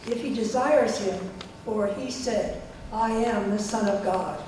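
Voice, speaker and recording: A woman's voice: medium pitch, slow pace, a little mellow, natural voice. A woman playing mocking priests and elders in a church dramatic reading, taunting in a declaiming style. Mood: scornful. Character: mocking chief priests, scornful elders jeering at a prisoner. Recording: echoey church hall, distant mic, faint noise.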